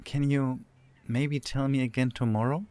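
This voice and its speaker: A man's voice: mid-range voice, steady tone, moderate pace, dark tone, clean tone, dry recording, close to an everyday voice. Man acting a hesitant, polite young man. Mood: tentative.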